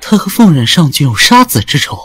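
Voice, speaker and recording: A man's voice: a little high, big pitch swings, fairly quick, pushed and bright, slightly rough, exaggerated voice. A man playing a worried, loyal retainer in an audio drama, cautioning his lord.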